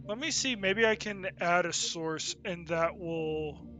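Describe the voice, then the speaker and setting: Higher-voiced man rambling over a game. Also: slow pace, pushed and bright. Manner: rambling, thinking aloud. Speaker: game streamer, host. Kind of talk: casual unscripted gameplay commentary.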